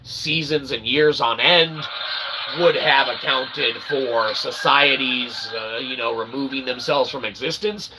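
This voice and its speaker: A man's voice: medium pitch, a little slow, noisy background. A man hosting a livestream, rambling through a monologue and checking in with "okay?" and "all right". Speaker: livestream host.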